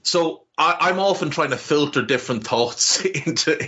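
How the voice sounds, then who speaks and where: A man co-hosting a casual podcast from home, talking in an animated way and swearing freely as his pitch jumps up. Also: moderate pace, muffled recording. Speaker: podcast co-host.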